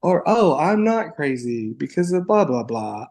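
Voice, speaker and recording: Playful young man with gravelly voice. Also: on the high side, sing-song, a little slow, a little mellow. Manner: playful, bubbly, lots of likes and you-knows. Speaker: podcast guest. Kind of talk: casual conversation.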